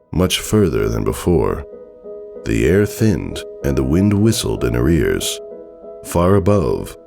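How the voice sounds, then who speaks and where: Very deep, gravelly male story narrator. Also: a little mellow. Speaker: fan fiction narrator. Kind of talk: dramatic scripted story narration.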